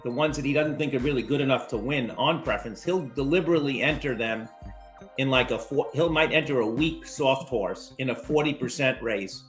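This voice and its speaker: A man with an everyday mid-range voice talks through his reasoning calmly as a podcast guest. He stumbles, restarts his sentences and keeps asking "right?" A light bed of music sits quietly behind him. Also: mid-range voice. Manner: measured, explanatory, stumbles and restarts, tags lines with "right?". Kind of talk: casual podcast chat.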